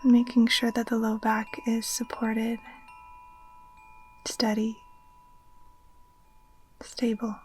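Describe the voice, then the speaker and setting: Soft, breathy woman guiding meditation, very even. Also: a little high, slight background noise. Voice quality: soft, breathy, often near whisper. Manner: soothing, gentle, unhurried instruction. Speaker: yoga nidra teacher. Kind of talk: guided relaxation meditation. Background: occasional chimes.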